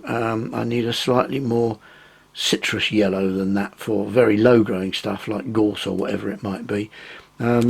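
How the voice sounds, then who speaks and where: A middle-aged man talks through his model railway scenery in a relaxed, rambling way, stopping often to hunt for words. His voice is croaky from a recent cold, with a gravelly, husky edge. He sits at an even, middling pitch. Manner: rambling, hesitant, many ums. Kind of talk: casual hobby tutorial.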